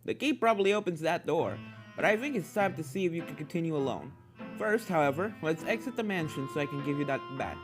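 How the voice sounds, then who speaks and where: A narrator voicing a calm, helpful male pony professor who gives chatty, encouraging instructions in a fan fiction story. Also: mid-range voice, fast, music in the background, natural voice. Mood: explaining.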